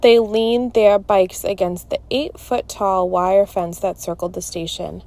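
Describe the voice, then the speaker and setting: A woman narrating a children's chapter book in an expressive, scripted read-aloud style. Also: mid-range voice, measured pace.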